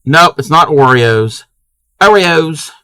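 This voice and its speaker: Man, crafting streamer, casual chat with viewers, slow talker, dry close sound, restarts sentences. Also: on the high side, animated, pushed and bright, smooth, dry recording. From Maryland, USA. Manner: chatty, answers chat, many restarts.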